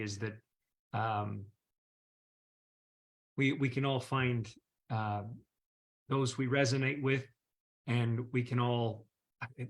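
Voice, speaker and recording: A man's voice: mid-range voice, fairly bright tone. A man hosting an online community call, talking in an enthusiastic, casual way with frequent fillers. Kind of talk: casual upbeat group call.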